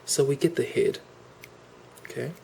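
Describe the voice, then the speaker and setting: A man with a cold speaks at a middle pitch, gushing over a toy in an eager, casual way. His voice comes through a camera mic indoors, with a faint hiss underneath. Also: steady tone, unhurried, gravelly, mellow, low-quality recording. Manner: enthusiastic, gushing, repeats "awesome" and "really". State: has a cold. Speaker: toy reviewer. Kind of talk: casual unboxing review.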